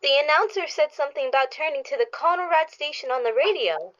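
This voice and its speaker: A woman playing an excitable, insistent young daughter in a radio play, with a plain-spoken manner. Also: high voice, fairly quick. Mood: worried, insistent. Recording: dull, lo-fi recording.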